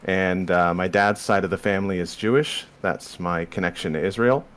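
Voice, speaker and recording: A young Canadian man from Southern Ontario, a podcast guest laying out history in a measured, explanatory way. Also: deep voice, fairly bright tone, clear, resonant tone. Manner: explanatory, measured, walks through history step by step. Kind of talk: casual discussion.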